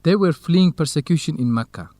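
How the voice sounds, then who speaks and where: An actor with an Ethiopian accent playing an organized, courteous, gently grumbling male researcher in an educational radio drama, speaking in a measured, explanatory way. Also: fairly high pitch, expressive, no room sound, close to an everyday voice. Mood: informative, earnest.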